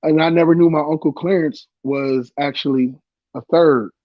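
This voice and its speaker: A man co-hosting a laid-back podcast, his voice congested from a cold. He talks in a playful, teasing way, a little higher than most men. The sound is dull and lo-fi, with a dry, close feel. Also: steady tone, moderate pace, no echo. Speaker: podcast co-host. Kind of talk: casual chat.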